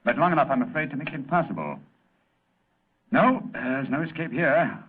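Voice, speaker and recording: A man playing a cool, brilliant gentleman detective in old-time radio drama, calm and precise. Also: medium pitch, moderate pace, a little hiss or hum, close to an everyday voice. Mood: composed, analytical. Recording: tinny, band-limited old radio recording.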